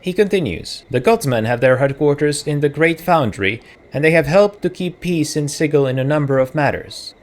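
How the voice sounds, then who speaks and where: A man's voice: a little high, lively intonation, moderate pace, hardly any pauses. Czech-accented man musing over a game. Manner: musing, thinks aloud with self-corrections. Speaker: let's play host. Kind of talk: casual gameplay commentary, reading game text aloud.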